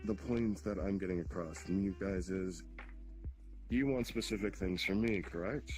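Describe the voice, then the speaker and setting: A man's voice: sits low, moderate pace, darkish tone, close to an everyday voice. A man playing a proud, blunt, ancient demon king in an improvised online fantasy roleplay, coolly threatening and dismissive. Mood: blunt, suspicious. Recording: background music under the voice.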